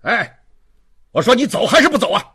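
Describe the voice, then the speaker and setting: A man's voice: very high-pitched, sing-song, very slow, slightly rough, voice pushed up, exaggerated voice. A man voicing a brutal, mocking police inspector in a dramatic audiobook, barking with contempt. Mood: impatient, barking. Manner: barking, contemptuous, forceful delivery.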